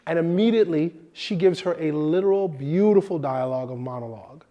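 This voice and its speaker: A man in his thirties hosting a film production lesson in a studio, animated and expressive, sounding a bit nasal from a cold. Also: fairly high pitch, a little fast, dull audio. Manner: animated, expressive, gestures with hands. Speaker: host. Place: indoor studio set.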